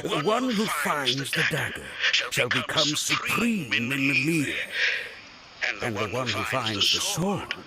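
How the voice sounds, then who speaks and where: A man's voice: mid-range voice, big pitch swings, slow pace, a loud crowd. A man voicing a self-important, indignant scholar in a video game, with a pompous, emphatic delivery. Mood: boastful. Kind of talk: English video game voice acting.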